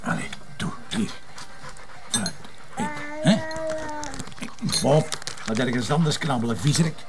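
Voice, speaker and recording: A man's voice: mid-range voice, expressive, unhurried, vocal fry, background noise. An actor playing a sarcastic, grumbling police inspector and father with a Flemish accent, in naturalistic television drama dialogue. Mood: grumpy, sarcastic.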